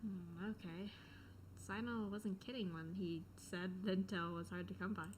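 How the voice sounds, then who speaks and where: A woman's voice: fairly low pitch, steady tone, unhurried, slight background noise, poor audio. A young woman giving casual, slangy gaming reaction commentary, tossing asides at the game. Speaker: gameplay streamer. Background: video game audio.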